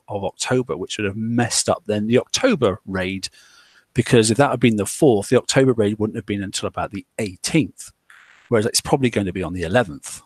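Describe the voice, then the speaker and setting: A man talking loosely in a relaxed group discussion. He rambles, circles back and stacks up fillers and restarts. His voice sits at an ordinary male pitch on a dry, close microphone. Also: no room sound. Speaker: podcast host.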